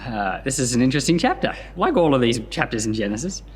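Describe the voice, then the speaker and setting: A man reading scripture and commenting on it in an expressive storytelling manner, as a pastor hosting a Bible podcast. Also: medium pitch, quick pace, a little hiss or hum.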